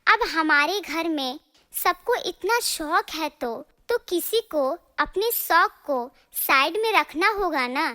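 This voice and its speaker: A boastful young daughter-in-law from a cartoon family tale, voiced by a woman. She is extremely high and squeaky with a bright edge, always ready to bicker and talk herself up. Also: expressive, takes it slowly, heavily acted. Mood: huffy. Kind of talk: animated moral story dialogue.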